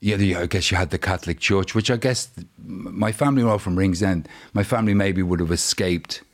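Guest, male, 50s, born in Ireland. Low voice, halting and rambling. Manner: rambling, halting storytelling with many restarts. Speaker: musician guest. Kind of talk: casual interview chat.

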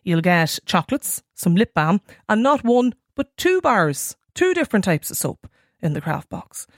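A woman's voice: mid-range voice, big pitch swings, normal speed, no echo, clean studio sound. A woman with an Irish accent hosting a radio phone-in, chatting in a wry, conversational way and prompting callers with questions. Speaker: radio talk show host.

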